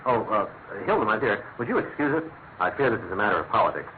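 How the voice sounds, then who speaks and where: Excitable, quick-talking English statesman. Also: lowish voice. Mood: polite, anxious. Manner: proper, fussy, exclamatory. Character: excitable, worried English government minister and husband. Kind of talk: classic radio drama acting. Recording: thin phone-like radio sound, clear noise.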